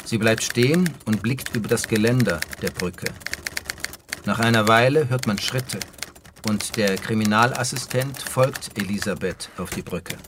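A man's voice: lowish voice, measured pace, background noise. A man reading scripted scene directions as a narrator in a radio play.

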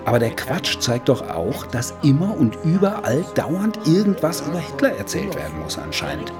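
A man's voice: on the high side, big pitch swings, moderate pace, music in the background. A man playing an eager, wry radio journalist with a German accent, arguing and slipping into lecturing in a conversational radio drama. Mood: insistent. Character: eager male radio journalist pitching an absurd idea to his colleague. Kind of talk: conversational radio feature acting.

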